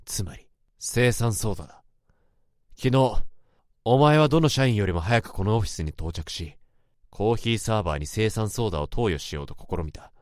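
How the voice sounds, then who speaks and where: A man's voice: on the low side, lively intonation, moderate pace, no room sound, clean studio sound, lightly acted. An actor playing a tense office worker who narrates his own inner thoughts in a radio drama. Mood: cold. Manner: tense, brooding, rising to urgent shouts. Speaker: narrator and protagonist.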